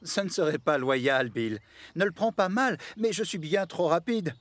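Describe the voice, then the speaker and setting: A man's voice: on the high side, expressive, normal speed, a bit bright, no room sound. A man voicing a boastful, breezy modern male express locomotive in a French-dubbed children's cartoon. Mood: confident. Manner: breezy, cocky, confident.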